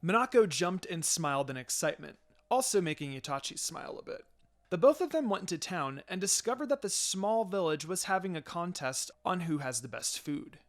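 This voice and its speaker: A man's voice: high-pitched, animated, brisk. A man narrating a scripted fan fiction story as a storyteller. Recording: music behind the voice.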